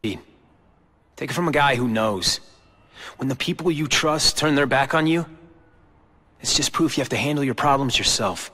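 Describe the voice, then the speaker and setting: A man's voice: medium pitch, unhurried, pauses often, sharp tone. A man with an American accent voicing an embittered, brooding young corporate heir in a video game cutscene. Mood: bitter. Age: young adult. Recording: long hall-like reverb. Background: background music.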